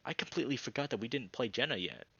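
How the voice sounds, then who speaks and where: A man's voice: medium pitch, animated, very fast, vocal fry, sharp tone, slightly rough, no room sound, highs cut off. A man giving casual live commentary as he streams a visual novel. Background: background music.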